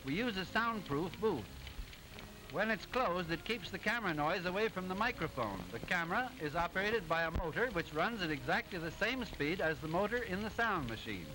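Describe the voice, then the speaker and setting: A man playing a brisk, confident doctor who explains things in a declamatory, instructive way, on an old 1920s film soundtrack. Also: sits high, very animated, noisy background.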